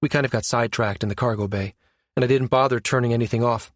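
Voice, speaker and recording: A man voicing a brisk, sardonic, exasperated woman space operative with informal, slangy speech in a science fiction audiobook. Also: medium pitch, fairly even intonation, no room sound, clean studio sound, natural voice. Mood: explaining.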